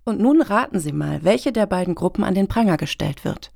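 A woman's voice: mid-range voice, animated, brisk, creaky, a little mellow. An actress playing an earnest, self-important middle-aged woman activist in a satirical radio play, speaking formally and wordily. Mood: indignant.

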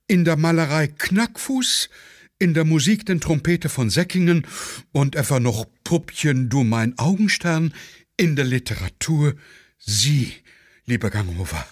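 A man putting on a fussy aide-de-camp, urgent and coaxing, his voice raised high and loud. It is a dry, close radio-play recording. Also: expressive, measured pace, no echo. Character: hurried eager male aide-de-camp, fussy and anxious.

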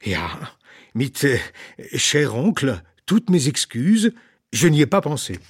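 An actor playing an elderly, outspoken humanitarian doctor in a radio play, husky and deliberate, with dry humour. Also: male voice, mid-range voice, sing-song, no echo, heavily acted. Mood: pointed, dry. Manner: deliberate, opinionated, dryly humorous. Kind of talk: radio drama in the form of a talk show interview.

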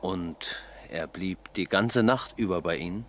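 An actor playing an eager, sceptical junior police detective in a German radio crime drama, asking pointed questions. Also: male voice, low-pitched, unhurried, a bit bright, a little hiss or hum. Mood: probing. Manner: probing, pointed.

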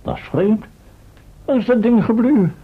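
Pompous professor, husky, muffled recording. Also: male voice, high voice, expressive, normal speed, heavily acted. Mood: indignant. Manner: pompous, declamatory, formal. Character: pompous, indignant, self-important time-travelling male professor. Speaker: actor. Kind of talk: Dutch radio drama acting. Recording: muffled, dull old radio recording.

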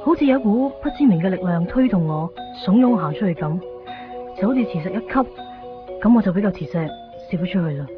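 Muffled radio recording, clear music bed, actress as lovelorn young Hong Kong woman, Cantonese drama. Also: medium pitch, animated, fairly quick, close to an everyday voice. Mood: wistful, yearning. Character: wistful young woman longing for a lost love.

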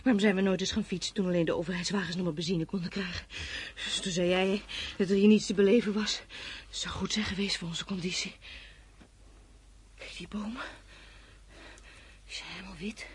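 A woman's voice: medium pitch, fairly even intonation, brisk, close to an everyday voice. An actress in a radio drama playing a weary woman fleeing on foot, plaintive and reproachful, sometimes drifting into dreamy murmurs. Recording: noisy radio play recording.

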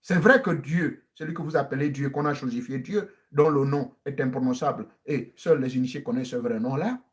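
A man, a traditional spiritual teacher preaching to his online followers. He stresses and repeats phrases, rising high in insistence and then dropping to a low murmur. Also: brisk.

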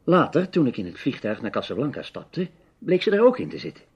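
An actor playing a serious, probing male investigator, measured and insistent, in an old Dutch radio play with a dull sound. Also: medium pitch, huge pitch swings, breathy edge, full character voice. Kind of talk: radio drama acting in Dutch. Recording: dull, band-limited old radio recording.

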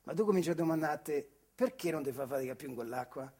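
An actor playing a bitter, weary retired factory worker in a theatrical monologue, with a central Italian accent from the Marche, swinging between muttering and outbursts. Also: male voice, on the high side, fast talker, darkish tone, slightly rough. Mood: brooding, confiding. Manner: colloquial, rhetorical questions, swings from muttering to outbursts. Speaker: stage actor. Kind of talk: theatrical monologue in dialect-tinged speech.

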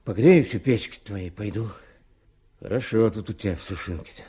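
An older man playing a kindly, fatherly old Siberian timber rafter with folksy speech and a husky voice in a Soviet radio drama. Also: fairly low pitch, normal speed, dark tone. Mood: tired, gentle.

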